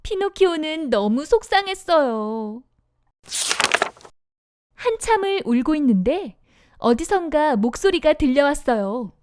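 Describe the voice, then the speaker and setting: Lively high-voiced woman storyteller. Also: unhurried, pauses often, no echo. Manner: expressive storytelling for young children. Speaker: storybook narrator. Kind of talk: scripted children's fairy-tale narration.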